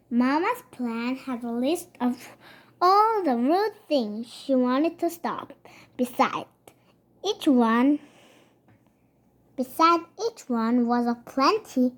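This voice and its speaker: A child reading a children's picture book aloud in a halting way, stumbling over words and going back to repeat them. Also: sits high.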